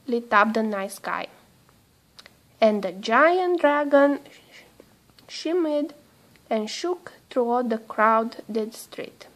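Female storybook reader, high voice, slow careful delivery, expressive telling for young children. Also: poor audio. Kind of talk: read-aloud children's storytelling.

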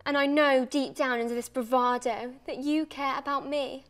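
A woman acting a desperate lover, pleading emotionally and insistently in a halting dramatic monologue. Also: sits high, steady tone, natural voice.

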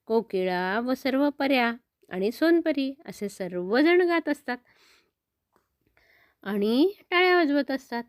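A woman's voice: medium pitch, clear, resonant tone, no room sound, clean studio sound. An older woman telling a story to children in a simple, grandmotherly way, often repeating words.

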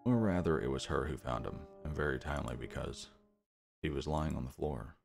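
A young man with a gravelly voice, a streamer reading visual novel narration aloud with casual asides. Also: rumbling low, lively intonation, fairly quick, darkish tone. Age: young adult. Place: small room at home, indoors. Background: game music.

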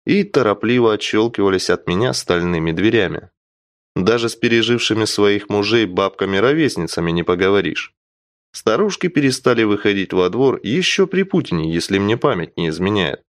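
A man's voice: lowish voice, no room sound, clean studio sound. A man narrating an audiobook in the first person with a wry, theatrical storytelling delivery.

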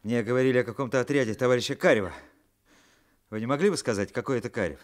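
An actor playing a weary, indignant educated traveller in a Soviet radio play, speaking formally and articulately. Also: male voice, mid-range voice, rapid-fire, natural voice.

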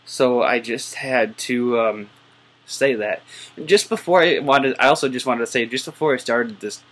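A man in his twenties talking to his webcam in a small bedroom, speaking softly and rambling with many fillers and restarts. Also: mid-range voice, normal speed. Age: 20s. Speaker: vlogger. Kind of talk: casual webcam monologue. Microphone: built-in webcam mic.

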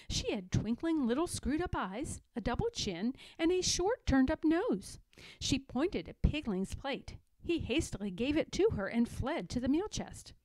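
A woman narrates a children's storybook. Her voice is high and animated, with deliberate, expressive phrasing. The sound is dry, with little room on it. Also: dry recording, poor audio. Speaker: storybook reader. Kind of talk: children's read-aloud narration.

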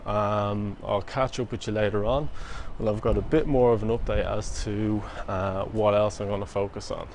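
A man's voice: lowish voice, fairly even intonation, normal speed, few pauses, a little mellow. Young irish guy chatting to his phone outside, upbeat, bit of street noise. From Ireland. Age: young adult. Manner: chatty, upbeat, loose fillers. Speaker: vlog co-host. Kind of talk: casual vlog update. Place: outdoors on a city street. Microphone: phone at arm's length.

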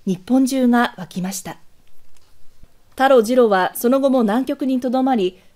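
Dark-toned, high-pitched woman news narrator. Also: normal speed. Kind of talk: scripted documentary news narration.

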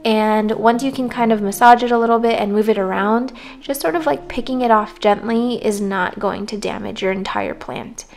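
Hoarse woman giving plant care tips. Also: medium pitch, normal speed, few pauses. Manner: relaxed, rambling with fillers. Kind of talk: casual voice-over talk.

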